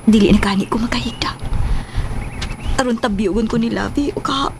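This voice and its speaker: Firm Cebuano Filipino mother, radio drama: high voice, emphatic, over noisy ambience. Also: moderate pace, gravelly. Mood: wistful. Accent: Filipino, Cebuano-speaking. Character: strict, longing mother, firm with her daughter.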